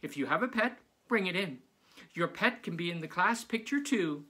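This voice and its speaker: A man's voice: high voice, animated, moderate pace, bright, forceful tone, slightly rough, voice pushed up, full character voice. A man voicing a talkative female zombie schoolteacher making emphatic announcements to her class in a storybook. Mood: announcing, emphatic.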